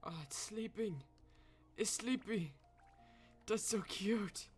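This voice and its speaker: A woman's voice: mid-range voice, lively intonation, slow, darkish tone. A woman giving casual live commentary as she streams a game, sweary and sardonic. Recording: faint game music behind.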